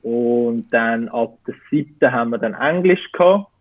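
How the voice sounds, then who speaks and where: A man's voice: mid-range voice, a little slow, dry recording. A man in his twenties with a Zurich Swiss German accent, answering interview questions and searching for words as he goes. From Switzerland. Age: 20s. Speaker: interview guest. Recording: tinny phone-like call audio.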